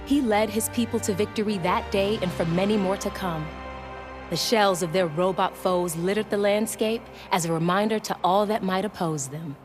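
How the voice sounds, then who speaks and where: An actress playing a composed, proud warrior woman in an animated adventure game, speaking in a measured, formal storytelling way. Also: medium pitch, natural voice. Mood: solemn storytelling. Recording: loud background music.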